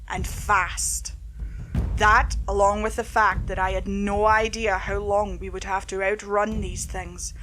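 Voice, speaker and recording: A woman reading a scripted horror story in a theatrical, measured way. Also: medium pitch, little pitch movement, fairly bright tone, soft background music, low-quality recording. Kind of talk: scripted dramatic horror narration. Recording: clear background noise, ambience.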